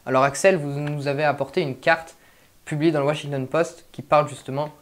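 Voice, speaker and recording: A man's voice: fairly high pitch, fairly even intonation, a little fast. A young man anchoring a student news bulletin, with a formal, scripted delivery.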